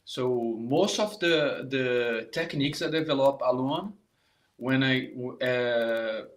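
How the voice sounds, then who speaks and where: A Brazilian-accented man, middle-aged, talks straight to his online viewers in a voice of ordinary middle pitch. He is a saxophone teacher, halting and repeating words as he goes, with Portuguese as his first language. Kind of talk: live online masterclass talk.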